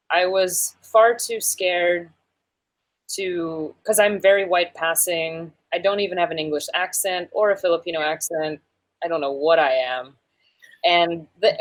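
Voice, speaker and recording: A woman's voice: lowish voice, poor audio. A woman filmmaker on a remote video call, speaking candidly and enthusiastically in a casual roundtable chat. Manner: candid, enthusiastic, informal slang. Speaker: filmmaker panelist.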